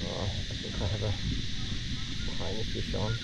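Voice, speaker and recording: A man's voice: lowish voice, level tone, normal speed, gravelly. A man hosting a casual solo fishing vlog, talking outdoors on the water from a board-mounted camera. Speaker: fishing vlogger, host. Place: outdoors on a paddleboard on open water. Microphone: action camera mounted on the board, at a distance. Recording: distant mic, loud outdoor background noise.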